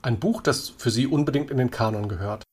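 A man's voice: mid-range voice, brisk, a little mellow, husky. A man working as an interviewer, putting short prepared questions to a guest.

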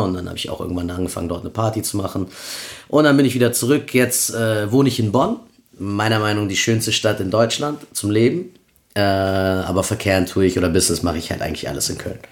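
A man in his thirties, born in Peru, talking as a guest on a casual podcast, rambling with many fillers. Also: fairly low pitch. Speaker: guest, event promoter.

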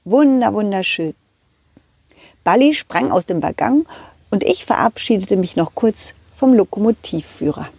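A woman's voice: medium pitch, animated, unhurried, creaky, darkish tone, slightly rough, dry recording. A woman puppeteer telling a children's story in character, with childlike enthusiasm, speaking straight to the children. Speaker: puppeteer storyteller voicing a dog hero.

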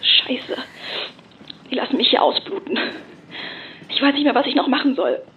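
A woman playing an exhausted, injured young activist in an audio drama, weak and breathy with pain. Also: high voice, sing-song, moderate pace. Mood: weary, pained. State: in pain, coughing. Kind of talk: naturalistic audio drama acting. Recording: dull muffled phone call, faint noise.

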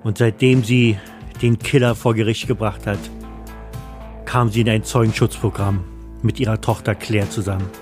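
A man's voice: lowish voice, fairly even intonation, normal speed. Man narrating an audio thriller over music. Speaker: narrator and voice actor of all parts. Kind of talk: scripted first-person thriller narration.

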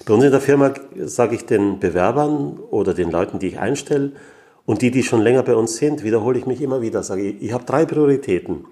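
A man in his sixties with an Austrian accent, giving reflective, deliberate interview answers as a guest, pausing as he thinks. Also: mid-range voice, lively intonation, warm tone. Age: 60s. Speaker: interview guest, business owner.

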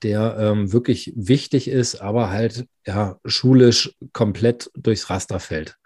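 A man working as an insurance advisor, explaining in a relaxed, chatty way with many fillers and restarts. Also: lowish voice, slow pace, slightly rough, no room sound, clean studio sound. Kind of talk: casual podcast explanation.

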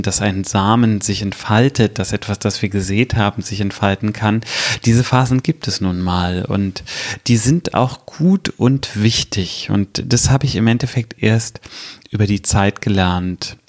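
A man in his thirties hosting his own podcast, talking directly to the listener in a reflective, advisory way. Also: fairly low pitch. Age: 30s. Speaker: podcast host, strategy consultant. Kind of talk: solo podcast monologue.

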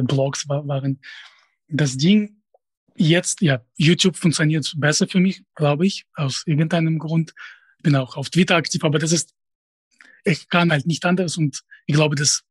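Husky man with a Russian accent. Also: fairly high pitch, fairly even intonation, moderate pace, dry recording. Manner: hesitant, restarts phrases, direct. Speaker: professor and YouTuber, interview guest. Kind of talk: conversational interview answers.

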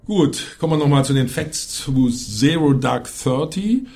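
A man's voice: medium pitch, expressive, measured pace, a little mellow. A man hosting a film review show, talking conversationally with opinionated asides. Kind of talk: casual film review commentary.

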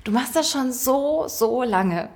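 A woman's voice: high voice, lively intonation, slow. A woman interviewing someone in a casual, conversational chat.

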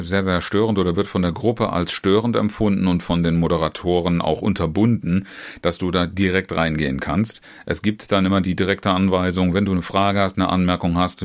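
Deep-voiced German trainer explaining directly. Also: male voice. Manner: speaks in long chained clauses with frequent restarts and fillers; addresses the listener directly as "du". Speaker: trainer and trainer educator. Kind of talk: informal instructional talk on online seminars.

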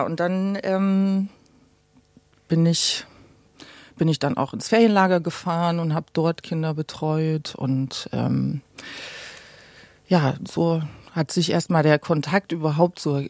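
A woman's voice: sits low, gravelly, darkish tone, no echo. A woman in her late forties from eastern Germany, telling stories conversationally as an interview guest. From Gera, eastern Germany. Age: late 40s. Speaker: podcast guest. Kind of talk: conversational storytelling about own life.

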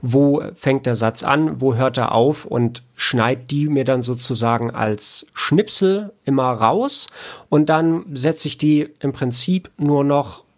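Creaky-voiced man explaining in conversation. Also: mid-range voice. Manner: halting, repeats words and hedges as he thinks. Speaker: audio producer and sound engineer, podcast guest.

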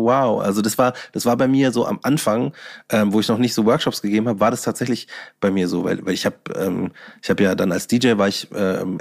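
A man chatting casually as an interview guest in youthful slang, halting and searching for words, then getting animated. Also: fairly low pitch, gravelly. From Bavaria, Germany. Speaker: guest, hip-hop DJ and workshop teacher.

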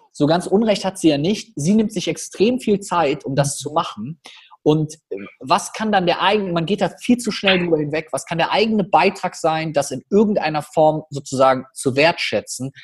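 A man with a Cologne accent talks on a podcast with a husky, slightly rough voice that sits a touch higher than most men's. He tells his stories in an animated way and throws in quick asides. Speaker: podcast guest, public speaker. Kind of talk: casual podcast conversation.

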